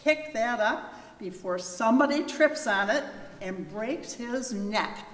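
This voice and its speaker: A woman with a slightly high voice delivers short lines in a readers theater recitation. She takes them very slowly. The room is echoey, with faint noise. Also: lively intonation. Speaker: readers theater performer at church.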